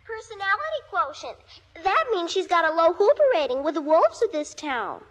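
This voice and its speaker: Wisecracking kid sister, American, piping high and animated, brash 1950s radio style. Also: female voice, normal speed. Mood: matter-of-fact, cheeky. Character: brash, slangy, wisecracking young daughter.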